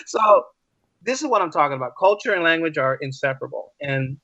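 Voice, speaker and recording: Higher-voiced American man explaining, muffled audio. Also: fairly quick, no echo. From United States, East Coast. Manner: animated explainer, checks in with rhetorical questions. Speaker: podcast guest, English as a second language teacher. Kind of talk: casual interview conversation.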